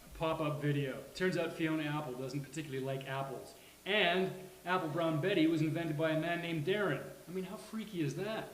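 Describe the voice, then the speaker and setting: Man as a dry, candid sitcom friend, slightly high. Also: brisk, natural voice. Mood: surprised, wry. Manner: dry, earnest, with emphatic outbursts. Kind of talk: live sitcom table read. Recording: roomy live venue with faint hiss.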